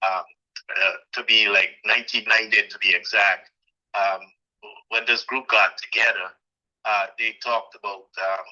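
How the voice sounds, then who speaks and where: A middle-aged man from Guyana with a bright, forceful, rather low voice. He talks haltingly, full of "uh" and "um" and restarts. The sound is dull and lo-fi. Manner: hesitant, many fillers and restarts. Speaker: guest, president of a community association. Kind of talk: interview answers.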